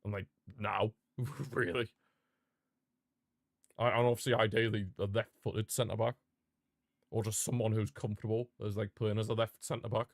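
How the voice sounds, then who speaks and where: A man's voice: fairly low pitch, level tone, normal speed, gravelly, long pauses, no echo, studio quality. A man reacting live to a football match as a streamer, ranting and calling out to his chat. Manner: passionate, frustrated fan outbursts and rants; talks to live chat viewers.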